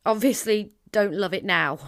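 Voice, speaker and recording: A woman's voice: mid-range voice, normal speed, a bit bright, close to an everyday voice. A woman playing a chatty, keen young survivor of a zombie apocalypse in an audio drama. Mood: teasing, enthusiastic.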